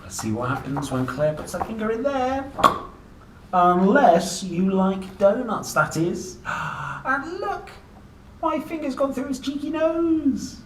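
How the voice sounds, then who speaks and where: A man's voice: very high voice, sing-song, slow. A man reading a picture book aloud to young children in a playful, theatrical way, exclaiming and putting questions to the listener. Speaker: children's book reader and host. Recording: roomy, distant mic, clearly noisy.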